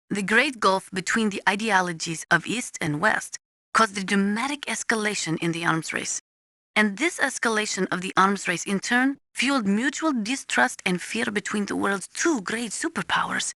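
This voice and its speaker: A woman playing an earnest nuclear weapons analyst in a video game, explaining things in a measured, precise, didactic way. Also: mid-range voice, pushed and bright, no echo, clean studio sound. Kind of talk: scripted expository video game voice acting.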